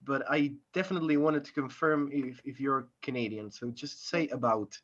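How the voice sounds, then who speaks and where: A man from Argentina with an Argentine Spanish accent, a native Spanish speaker, joining a casual group chat with halting, repeated words. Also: medium pitch, fairly even intonation, a bit bright, dull audio. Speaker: panelist.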